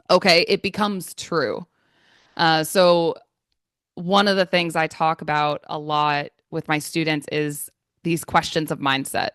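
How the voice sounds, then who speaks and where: American woman, English trainer; low, slow, smooth voice, dry close sound, measured and reflective. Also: fairly bright tone, dry recording. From United States. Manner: thoughtful, measured, with frequent fillers. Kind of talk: conversational interview answers. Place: Florida.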